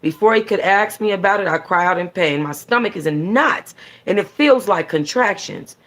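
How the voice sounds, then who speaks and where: A woman reading a novel aloud in an expressive, conversational, sassy way with casual slangy asides, as a book club host. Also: mid-range voice, normal speed. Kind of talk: expressive first-person audiobook-style reading with casual asides.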